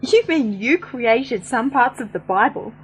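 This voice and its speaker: A woman's voice: fairly high pitch, animated, a little slow, a little hiss or hum, low-quality recording. A woman playing a teasing young companion to her uncle in an amateur radio play.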